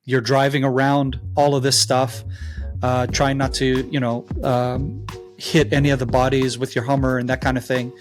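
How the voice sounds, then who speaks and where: A man in his forties from Israel with an American accent, answering interview questions haltingly with many fillers. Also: mid-range voice, level tone, music in the background. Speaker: guest.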